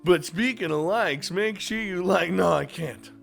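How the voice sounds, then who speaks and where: A man's voice: sits high, unhurried, gravelly, a bit bright, soft background music. A man hosting a casual gaming video, talking informally and swearing freely as he gives his commentary. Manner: informal, chuckling, frequent swearing. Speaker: video game YouTuber hosting a top five list.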